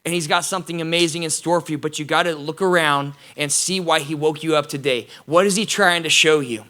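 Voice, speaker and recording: A young adult man preaching an energetic, casual sermon to students, addressing them directly. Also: on the high side, brisk. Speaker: youth pastor.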